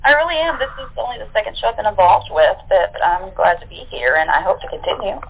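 A woman guest talks casually in an interview. Her voice has a middle pitch. The audio is narrow and tinny, like a phone call. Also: fast talker, vocal fry, breathy edge. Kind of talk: casual chat. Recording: tinny phone-line sound.

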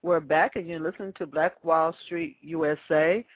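A man hosting a radio show, introducing the next guest in a loud, formal announcer delivery. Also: on the high side, steady tone, normal speed, slightly rough. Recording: tinny phone line with faint music.

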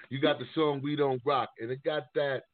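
A man's voice: medium pitch, steady tone, a little slow, no echo. A man hosting a casual interview, asking loose, rambling questions in a conversational way. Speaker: interview host. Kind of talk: casual chat. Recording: thin telephone line.

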